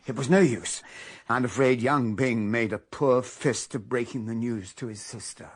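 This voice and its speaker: An actor playing a sober English gentleman guest who recounts a murder mystery in a period radio drama, measured and restrained. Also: medium pitch, slightly rough, lightly acted. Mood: reflective.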